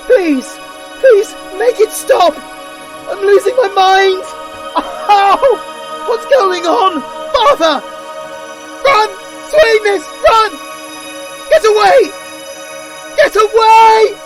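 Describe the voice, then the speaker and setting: An actor playing a gentle young angel in anguish, in a fantasy audio drama, with a pleading, faltering, emotional delivery. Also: male voice, very high-pitched, exaggerated voice. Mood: agonized, panicked.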